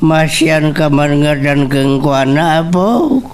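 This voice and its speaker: A man's voice: on the high side, slow, a little hiss or hum. A man playing a concerned father giving advice in a radio drama, recorded a little far off. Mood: concerned, coaxing.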